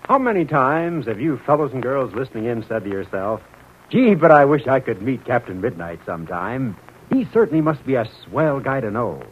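Eager old timey kids radio announcer, real swoopy and chummy, tinny phone-like sound. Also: male voice, mid-range voice, very animated, moderate pace, slightly rough. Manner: chummy, showmanlike. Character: eager, folksy 1940s kids' radio announcer.

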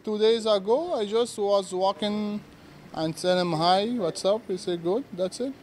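Belizean guy in a street interview, pretty high voice, halting loose english, faint traffic behind. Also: darkish tone. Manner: halting, informal English with hesitations. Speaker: interviewee, local resident.

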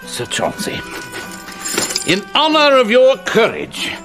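A man's voice: very high-pitched, wildly swooping pitch, takes it slowly, full character voice. An actor playing an older, befuddled but kindly king in a comic video game, with a muddled, pompous delivery. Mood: pompous, musing. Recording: loud music under the voice.

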